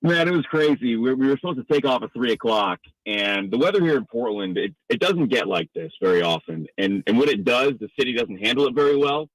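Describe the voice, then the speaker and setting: A man's voice: medium pitch, expressive, fairly quick, few pauses, no echo, low-quality recording. A man from the Northeastern United States, a sports announcer, talking conversationally and wordily as a radio interview guest.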